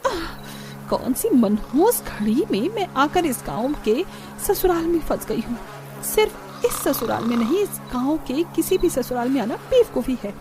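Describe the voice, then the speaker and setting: A woman's voice: sits high, big pitch swings, unhurried, pitched above the performer's own voice. A woman voicing a young village daughter-in-law in a cartoon, complaining in a dramatic, exasperated way. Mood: weary, startled.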